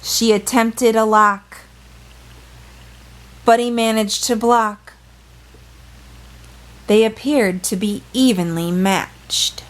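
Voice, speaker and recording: A woman reading a picture book aloud for children, expressive and playful, with dramatic phrasing and small asides. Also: fairly high pitch, slow, long pauses, low-quality recording.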